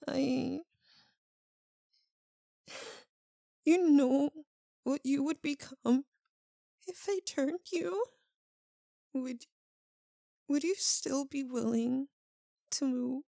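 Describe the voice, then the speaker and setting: A woman playing an anguished, tender, guilt-ridden vampire lover in an ASMR roleplay, speaking in a halting, intimate, pleading way. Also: sits high, creaky, dark tone, no echo, clean studio sound, exaggerated voice. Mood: hesitant, sorrowful.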